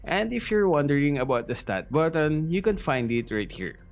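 Male gaming narrator, animated intonation, slightly high pitch, scripted tutorial delivery, music behind. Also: moderate pace. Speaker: gaming video creator.

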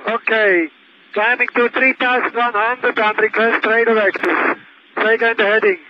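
High-pitched male pilot on noisy radio. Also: level tone, measured pace. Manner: clipped, repeating short phrases. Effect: aircraft radio. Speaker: airline pilot. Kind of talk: emergency radio communication. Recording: noisy, crackly, dull aircraft radio transmission.

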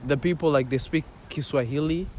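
A man's voice: a little high, fairly quick, a little mellow. A young man from Rwanda being interviewed on the street, hesitant and full of fillers, then playful. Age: young adult. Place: outdoors on a park lawn. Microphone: interviewer's phone. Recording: faint outdoor street noise.

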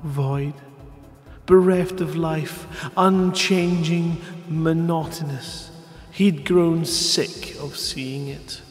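High-pitched man hosting a live stream. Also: steady tone, slightly rough. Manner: dramatic, drawn-out delivery when reading, sweary. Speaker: host of a live community show reading listeners' short stories. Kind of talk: live stream reading and banter. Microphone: headset. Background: music in the background.

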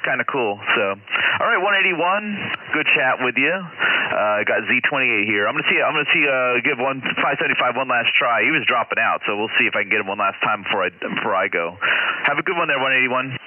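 A man's voice: medium pitch, animated, brisk, hardly any pauses. A man, a CB radio operator, chatting casually and technically about signal conditions and antennas over the air. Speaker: CB radio operator hosting skip contacts. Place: home radio station, in California. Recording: tinny CB radio audio.

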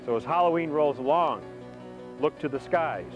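An American man in his forties presents a documentary in polished scripted lines. His voice sits a little high, with clear music underneath. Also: normal speed, dull audio. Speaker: documentary host, broadcaster.

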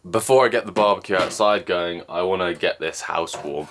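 A man's voice: low-pitched, fairly quick, fairly bright tone, a little hiss or hum. A young man vlogging from a snowy mountain cabin, chatting casually and joking about his own lack of skill while holding the camera at arm's length. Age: young adult. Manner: chatty, self-deprecating, explains as he goes. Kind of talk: casual vlog narration. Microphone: handheld camera at arm's length.

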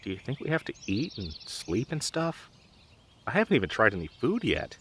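A young man playing a dorky, nervous, protective gamer best friend in an audio roleplay, with halting, awkward delivery. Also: mid-range voice, huge pitch swings, a bit bright, slight background noise. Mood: worried, musing. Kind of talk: audio roleplay drama, conversational.

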